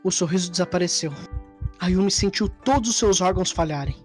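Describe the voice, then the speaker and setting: A woman's voice: on the low side, fairly quick. A woman narrator reading a scripted story in a dramatic storytelling style. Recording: music under the voice. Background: background music.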